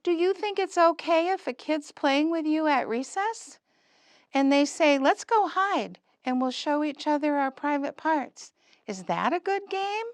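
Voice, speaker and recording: A woman plays a friendly boy puppet from a children's show, with a raised pitch and slow pace. The puppet is an earnest helper who talks to little ones in simple questions and explanations. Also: animated, no room sound.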